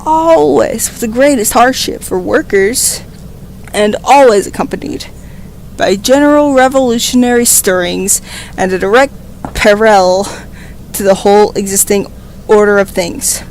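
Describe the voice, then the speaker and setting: A slightly hoarse woman reading a political text aloud on a stream and breaking into rambling, profane, emphatic commentary into a desk microphone. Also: mid-range voice, a little slow, darkish tone, slight background noise, low-quality recording. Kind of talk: casual read-aloud with commentary.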